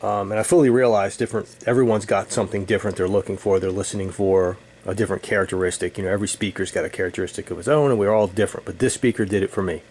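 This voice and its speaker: A middle-aged man reminiscing casually as an enthusiast, speaking in halting short bursts. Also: on the low side. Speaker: audio hobbyist presenting own video. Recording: steady room noise, air conditioning hum.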